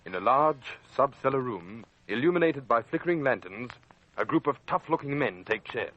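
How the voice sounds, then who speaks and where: A man's voice: medium pitch, lively intonation, normal speed, fairly bright tone. A man narrating in a scripted, dramatic old radio style as the announcer of a drama. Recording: dull vintage radio recording with music.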